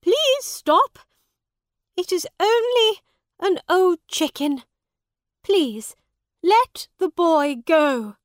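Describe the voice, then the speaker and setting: A woman voicing an anxious, soft-hearted mother who pleads for mercy in a storybook. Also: high voice, takes it slowly, few pauses, no echo, studio quality, pitched above the performer's own voice. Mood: urgent pleading. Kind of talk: storybook character dialogue.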